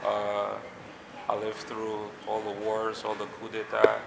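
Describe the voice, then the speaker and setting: A man from Haiti in his thirties, a pilot and jet company owner, telling his story in a relaxed, rambling interview with lots of fillers. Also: lowish voice, measured pace, vocal fry. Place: outdoors on a city street. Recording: echoey with loud background noise.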